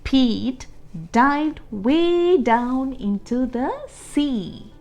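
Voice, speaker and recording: Lady doing kids storytime, high pitched voice, super slow and expressive, bit of music behind. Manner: expressive, deliberate, explaining and asking questions. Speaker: storytime read-aloud host. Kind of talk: children's storybook read-aloud with asides to young listeners.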